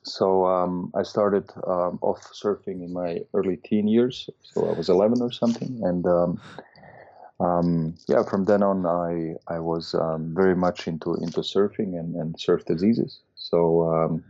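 A middle-aged man from Austria speaks in a very low, mellow voice. He talks hesitantly, filling pauses with ums and restarting his sentences. The sound is dull and lo-fi, like a remote call. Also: steady tone. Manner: hesitant, many fillers and restarts. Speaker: guest, medical doctor and surfer. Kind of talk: casual interview conversation.

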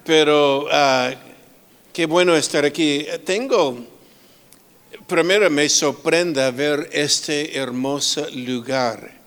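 Slow, smooth-voiced male preacher. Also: fairly high pitch, fairly bright tone. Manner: slow, with many pauses and restarts; humorous asides. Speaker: guest preacher. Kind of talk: sermon.

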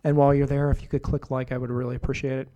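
A middle-aged man with a warm, mellow voice talks on the radio between songs. He rambles loosely with lots of fillers and false starts, at an easy, ordinary pitch. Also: rapid-fire. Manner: relaxed, rambling, full of fillers and restarts. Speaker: radio show host.

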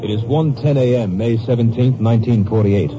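A man narrating a scripted 1950s radio drama in a measured, formal way. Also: medium pitch. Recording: noisy, muffled 1950s radio recording.

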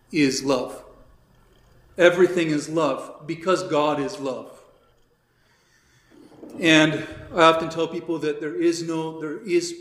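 A man, a priest recovering from illness, teaching a catechism class in a conversational way, his voice slightly raspy and a little weak. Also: a little high. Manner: conversational, with many fillers and restarts. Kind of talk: informal religious teaching. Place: church classroom. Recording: echoey room.

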